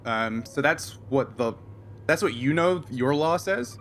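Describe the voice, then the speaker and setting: A man running a tabletop roleplaying game, explaining and improvising in a halting, conversational way. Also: on the high side, lively intonation, fairly bright tone, a little hiss or hum. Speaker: game master.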